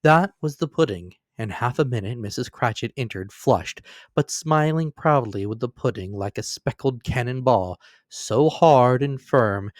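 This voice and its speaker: A man's voice: medium pitch, no room sound, studio quality. A man narrating a classic story as a dramatic reading, lifting and dropping his voice for emphasis. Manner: expressive, rising and falling for emphasis.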